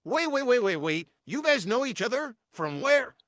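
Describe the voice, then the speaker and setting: A man's voice: very high voice, sing-song, normal speed, fairly bright tone. A man giving wry, joking reaction commentary as the commentator on a video.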